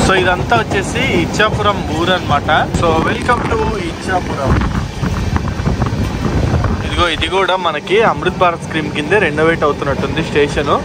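A young man talks fast and chatty, pointing out passing sights from a train. His voice sits high for a man, and it comes through a phone held at arm's length with heavy train and wind noise behind him. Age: young adult. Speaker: travel vlogger. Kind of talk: casual travel vlog commentary. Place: aboard a moving train and on station platforms.

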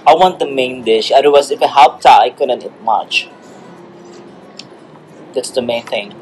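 A man's voice: mid-range voice, a little slow, a little mellow, a little hiss or hum, rough recording. A man in his thirties with a Filipino accent, chatting and laughing over dinner in a restaurant, filmed on a phone at the table. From Philippines. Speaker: vlogger host. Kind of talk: casual dinner chat. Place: restaurant, indoors. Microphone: phone camera on the table.

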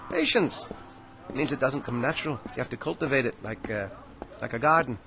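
An actor playing a sly, smooth-talking male salesman in a radio drama. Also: mid-range voice, brisk, lightly acted. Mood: coaxing. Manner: wheedling, sly, lively.

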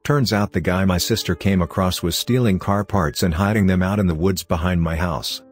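A man reading online horror stories aloud as a scripted narration, in a low, steady storytelling delivery. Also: moderate pace, breathy edge. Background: faint music.

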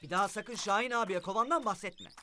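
A man's voice: high voice, fast talker, sharp tone, faint music behind. A man voicing a bossy, exasperated cartoon schemer in a scolding, sighing manner. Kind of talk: cartoon voice acting.

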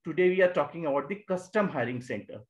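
A male teacher with an Indian English accent narrates a slide lecture in a fairly high voice. He explains each point patiently, posing a question and then answering it himself. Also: lively intonation, fast talker, lo-fi sound. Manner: explanatory, repeats key terms, asks and answers own questions. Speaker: exam coaching teacher. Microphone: voice-over on annotated slides.

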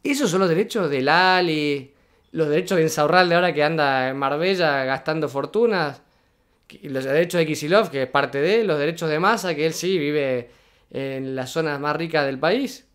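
Indignant high-voiced Argentine man. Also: moderate pace, a bit bright, smooth. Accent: Argentine Spanish. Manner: indignant, emphatic, repeating points. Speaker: political commentator. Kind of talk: reaction video commentary.

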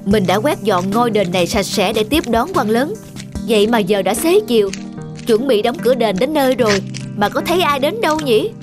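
A woman's voice: on the high side, expressive, fairly quick, close to an everyday voice. A woman voicing a humble, earnest elderly man who keeps a temple in a cartoon folktale. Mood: puzzled, patient. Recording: music behind the voice.

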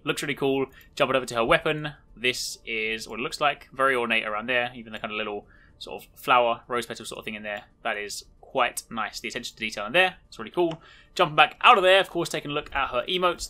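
Man, gaming host, informal showcase commentary, quick pace, forceful bright tone. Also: medium pitch. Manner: chatty, informal.

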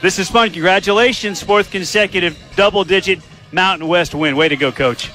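A male radio broadcaster interviewing a coach after a game. His voice is a touch higher than average. He delivers polished, upbeat questions. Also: a little fast, pushed and bright, soft background music.

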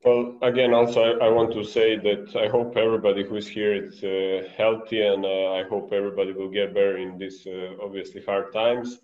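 A man's voice: lowish voice, little pitch movement, brisk, hardly any pauses. A man in his thirties with a Serbian accent, a basketball coach speaking conversationally as a guest on a muffled, echoey video call. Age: 30s. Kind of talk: conversational online presentation. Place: bright room indoors by a window.

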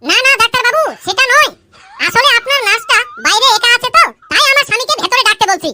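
Man voicing a squeaky, cheeky cartoon schoolgirl. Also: lively intonation, quick pace, heavy background noise. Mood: cheeky storytelling. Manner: chattering, cheeky. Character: cheeky married schoolgirl with a tale for everything. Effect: pitched-up voice. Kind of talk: comic cartoon dialogue. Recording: music behind.

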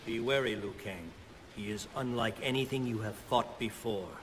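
Guy as a wise grave thunder god mentor in a game, lowish voice, bit of noise. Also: close to an everyday voice. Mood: grave counsel. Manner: grave, measured counsel. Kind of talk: video game drama acting.

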